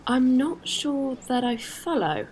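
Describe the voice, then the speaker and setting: A woman playing a caring, earnest space traveler in an audio drama, speaking with gentle hesitancy. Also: sits high, sing-song, slow, a little hiss or hum, exaggerated voice. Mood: guarded.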